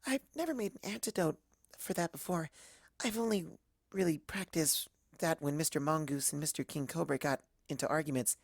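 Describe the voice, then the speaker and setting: A woman voicing a timid, gentle female cartoon pony who talks softly and earnestly, with some hesitation. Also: deep voice, moderate pace, vocal fry, dry recording, studio quality. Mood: timid, worried.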